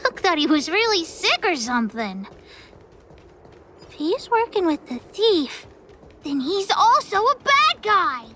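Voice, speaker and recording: A woman's voice: very high-pitched, sing-song, a little slow, pauses often, background noise, exaggerated voice. A woman voicing a bold, boastful little girl treasure hunter in a video game. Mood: indignant, eager. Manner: childlike, boastful, speaks of herself in third person.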